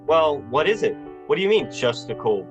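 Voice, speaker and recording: A man's voice: a little high, very animated, moderate pace, muffled recording, soft background music. A man playing a short-tempered, desperate family man in a radio play, agitated and stammering. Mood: irritable, bitter. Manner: agitated, stammering, repeating phrases. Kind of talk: radio drama acting.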